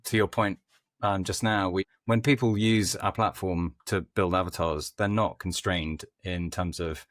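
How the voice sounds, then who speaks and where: A man speaking as a podcast guest, explaining things informally in conversational answers with hesitant pauses. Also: deep voice, steady tone, no echo, studio quality. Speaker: podcast guest, company head of growth.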